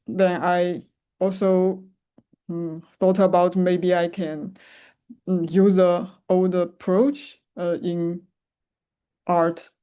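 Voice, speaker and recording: A woman talks slowly over a video call, pausing on fillers as she presents her work. Her voice is dark and smooth, and she speaks in a flat, even way. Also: fairly low pitch. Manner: hesitant, many fillers. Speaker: masterclass participant. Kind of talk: presenting her project in an online class.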